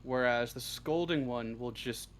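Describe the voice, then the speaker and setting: A man's voice: medium pitch, unhurried. A man answering as the guest writer in a casual interview, hesitating and thinking aloud as he talks. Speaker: interview guest, audio roleplay script writer. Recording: clearly noisy background.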